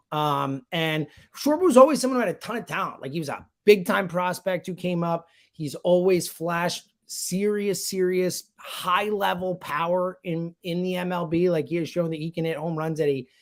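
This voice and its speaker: A man's voice: a little high, moderate pace. A man giving sports analysis in conversation, speaking emphatically and repeating himself to drive points home. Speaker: sports radio host as guest analyst.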